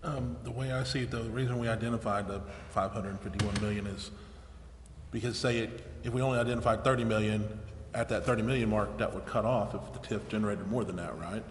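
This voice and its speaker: A man with a fairly low voice joins a public meeting discussion informally. His voice carries long hall reverb, with some faint noise in the background. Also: steady tone, brisk. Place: large echoey council chamber. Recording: echoey hall, distant timbre, faint noise.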